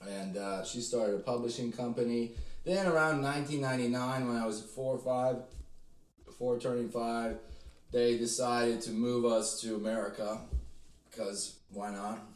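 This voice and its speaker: A man in his twenties from Ukraine, a podcast guest telling his story in relaxed, rambling casual talk. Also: mid-range voice, moderate pace, echoey room, rough recording. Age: 20s. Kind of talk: casual interview chat.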